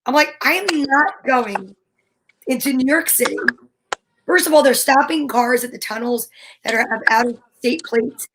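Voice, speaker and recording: American female co-host, high voice, blunt casual talk, faintly noisy recording with clicks. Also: normal speed. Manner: blunt, emphatic, opinionated. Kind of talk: casual chat.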